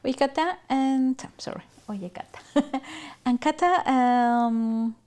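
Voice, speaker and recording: A woman hosting a casual podcast interview. Her voice is a little high. She asks questions and backs her guest up with quick replies and laughter. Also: a little mellow. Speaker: podcast host.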